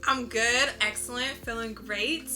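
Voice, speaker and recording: Casting: American woman, bright forceful voice, expressive informal interview guest, music underneath. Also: fairly high pitch, unhurried. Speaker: interview guest, visual artist. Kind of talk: casual interview chat.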